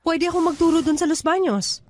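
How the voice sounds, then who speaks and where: A woman's voice: extremely high pitch, fairly quick, a little mellow, no echo. A woman playing an eager, clinging teacher in love in a radio drama, chatty and insistent. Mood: anxious. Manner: chatty, insistent, planning aloud.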